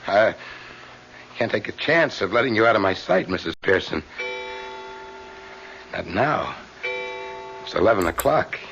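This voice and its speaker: An actor playing a tormented, self-pitying killer in an old-time radio mystery, repeating phrases insistently. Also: male voice, lowish voice, lively intonation, unhurried, vocal fry, long pauses, a bit bright, soft background music, full character voice. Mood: menacing regret. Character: tormented serial killer lodger, self-pitying, bitter and unhinged. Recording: dull lo-fi radio recording with hiss.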